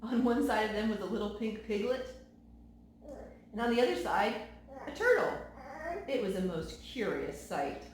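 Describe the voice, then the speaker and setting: A woman's voice: medium pitch, lively intonation, moderate pace, pauses often. Echoey storytelling woman reading aloud. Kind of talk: expressive children's storybook read-aloud. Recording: very echoey room, faint noise.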